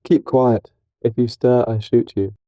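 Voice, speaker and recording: A man's voice: mid-range voice, steady tone, unhurried, no echo, highs cut off, voice pushed up, natural voice. A man voicing a vengeful, brutish sailor in an audiobook, with a threatening, commanding manner. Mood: menacing. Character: vengeful, brutish sailor demanding revenge for his sister. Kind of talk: dramatised audiobook character reading.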